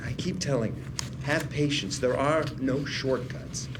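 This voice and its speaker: An actor playing a brilliant, stubborn older brother, a former attorney who lectures pedantically, in a table read of a drama script. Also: male voice, mid-range voice, measured pace, noisy background, lightly acted. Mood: lecturing. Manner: lecturing, pedantic, quoting Latin.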